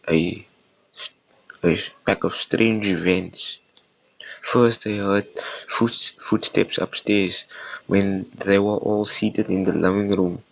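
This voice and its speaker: Male podcast storyteller, low flat voice, halting reader, dry close sound. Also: creaky, dry recording, poor audio. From Africa. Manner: halting, stumbles and repeats words while reading. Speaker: podcast host. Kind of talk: reading scary stories aloud, with casual asides.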